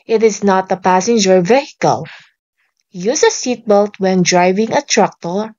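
Woman teacher, measured list-reading delivery, unhurried, slightly muffled home recording. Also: medium pitch, a little mellow. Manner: slow, deliberate reading of lists. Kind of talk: reading lesson slides aloud.

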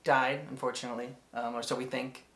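A man in his thirties chatting casually as a reaction host, with a halting, enthusiastic delivery. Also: mid-range voice, little pitch movement, a bit bright. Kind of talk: casual commentary chat. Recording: roomy indoor echo.

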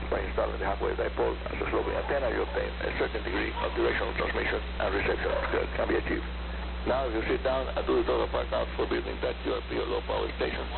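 A gravelly-voiced man hosting a scripted hobby program on shortwave radio, announcing in a deliberate way. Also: lowish voice. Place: radio broadcast picked up on shortwave receiver. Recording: noisy narrowband shortwave radio reception, humming. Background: loud static and hum.

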